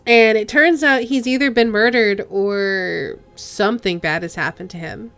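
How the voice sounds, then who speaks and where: A woman's voice: medium pitch, measured pace, fairly bright tone, faint music behind. Chatty woman streaming a game. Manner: chatty, giggly, reads game text aloud. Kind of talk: casual game-stream chat and text reading.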